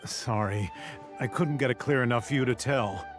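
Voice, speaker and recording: A man acts out a dutiful forest ranger from a video game. He has an average-pitched voice and an earnest, explanatory delivery, over soft music. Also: moderate pace, close to an everyday voice. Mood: urgent, relieved. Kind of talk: English video game voice acting.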